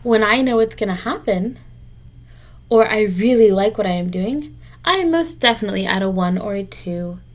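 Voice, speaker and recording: A woman's voice: mid-range voice, animated, moderate pace. A woman teacher reading a picture book aloud to young children, explaining and encouraging them in simple, direct words.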